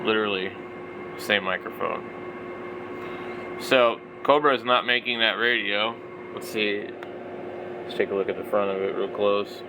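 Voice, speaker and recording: A man's voice: fairly low pitch, fairly even intonation, unhurried, long pauses, background noise. A man in his forties giving casual, off-the-cuff product commentary, rambling and thinking aloud. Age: 40s. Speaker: vlogger, radio hobbyist.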